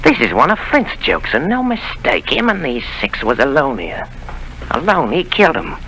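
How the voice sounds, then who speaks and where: A crafty old pirate chief in a vintage radio play, slipping between a wheedling purr and open threat. His voice is very bright and cutting, and his pitch swoops up and down constantly. A faint hiss sits under the old broadcast. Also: huge pitch swings, normal speed, exaggerated voice, rough recording. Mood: scheming. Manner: rough sailor's slang, sly and coaxing. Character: cunning old male pirate leader, menacing and wheedling. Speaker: radio drama actor. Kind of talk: 1930s radio drama acting.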